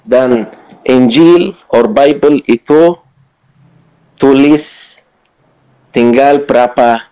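Slow-spoken man, non-native Indonesian speaker. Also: mid-range voice, warm tone, clear, resonant tone, rough recording. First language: not Indonesian, still learning it. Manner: halting, mixes broken Indonesian with English. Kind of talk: informal religious debate on video call. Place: online video call.

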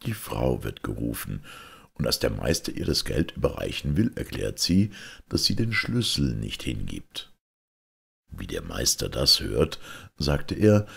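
Very deep, gravelly male storyteller. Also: a bit bright. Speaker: audiobook reader. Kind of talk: scripted fairy-tale narration.

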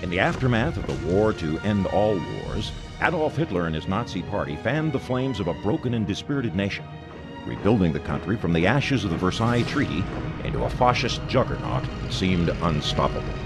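An actor playing a solemn, stirring wartime narrator, delivering grave and rousing scripted narration for a video game. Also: male voice, low voice, sing-song, brisk. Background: music.

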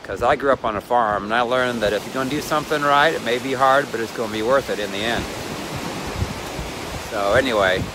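A man's voice: mid-range voice, a little fast. Chatty man vlogging on a windy shore. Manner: chatty, wandering, self-mocking. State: cold. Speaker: vlogger and ministry leader. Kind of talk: casual rambling selfie vlog. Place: outdoors on a windy lakeshore with waves. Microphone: phone held at arm's length. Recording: wind and surf noise on phone audio. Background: waves and wind.

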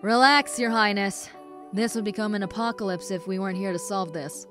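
A woman playing a calm, self-assured detective in an amateur animated movie. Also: medium pitch, brisk, soft background music, lightly acted. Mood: confident.

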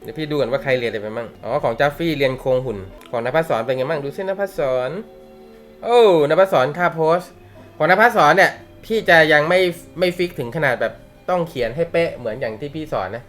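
A man's voice: on the high side, animated, quick pace, a bit bright, soft background music. A young man teaching a manga class in a casual, joking way, laughing often and using slang. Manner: chatty, laughs often, uses slang and swears. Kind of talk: casual live class talk.

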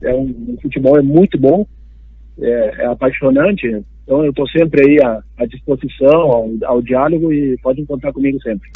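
A man from Uruguay speaks as an interview guest. His delivery is halting, with repeated phrases, in a medium-pitched voice. The audio is dry and somewhat dull, like a remote call. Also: breathy edge, dry recording. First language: Spanish. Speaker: football agent, interview guest. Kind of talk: live interview.

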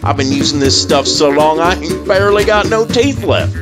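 An actor playing a folksy, enthusiastic cowboy pitchman with a drawl in an old-time radio ad. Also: animated, moderate pace, close to an everyday voice. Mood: folksy, eager. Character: folksy cowboy pitchman hawking chewing tobacco. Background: loud music.

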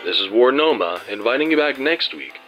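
A man's voice: medium pitch, expressive, brisk, lo-fi sound. A man announcing scripted credits for a radio drama in the role of announcer. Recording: music behind the voice.

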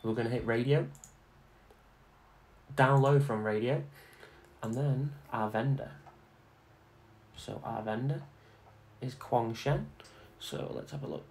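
A man giving a casual, informal software tutorial, instructing step by step and repeating himself as he goes. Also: mid-range voice, slow pace, long pauses. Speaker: tutorial host. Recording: clear background noise.

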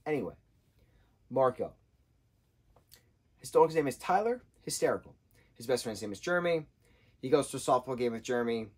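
A man in his thirties, husky-voiced, giving an animated casual book review from a sofa indoors. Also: medium pitch, normal speed, long pauses. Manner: animated, gestures a lot, acts out quotes in changing voices. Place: indoor room, sofa with patterned throw.

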